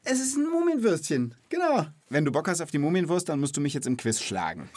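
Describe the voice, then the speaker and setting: A man's voice: fairly high pitch, wildly swooping pitch, fast talker, a little mellow, no echo. A man hosting a children's knowledge podcast, chatting and explaining in a casual, enthusiastic, colloquial way.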